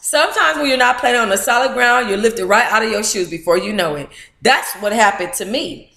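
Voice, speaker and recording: An American woman hosting a book club, reading aloud informally and breaking into animated, playful, candid asides. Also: on the high side, a little fast, vocal fry, echoey room.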